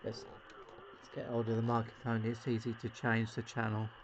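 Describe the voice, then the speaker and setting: A man's voice: medium pitch, monotone, normal speed. A man, an amateur CB radio operator, chatting casually as he demonstrates his set and puts out calls. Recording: dull lo-fi audio, clear background noise.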